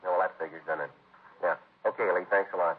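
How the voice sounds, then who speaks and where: An actor playing a terse American police detective in a 1950s radio drama, narrating in a deadpan, clipped manner. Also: male voice, deep voice, fast, husky. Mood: businesslike. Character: terse, businesslike male police detective, narrating his case. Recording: tinny, noisy vintage radio recording.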